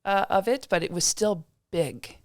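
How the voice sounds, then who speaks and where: A woman's voice: medium pitch, unhurried, darkish tone, no room sound, clean studio sound. A woman from Indiana, a state development director, chatting as a guest on a podcast, with lots of fillers and restarts. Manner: chatty, many fillers and restarts, self-deprecating laughs. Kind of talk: casual interview talk.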